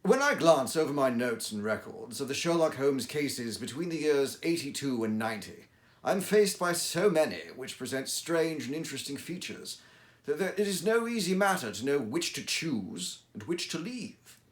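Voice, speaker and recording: A man reading aloud as Dr. Watson, a Victorian gentleman recounting old cases, in a measured, formal storytelling delivery. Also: fairly high pitch, sing-song, voice pushed up. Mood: earnest, reflective. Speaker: audiobook reader. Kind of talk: dramatic reading of a classic story.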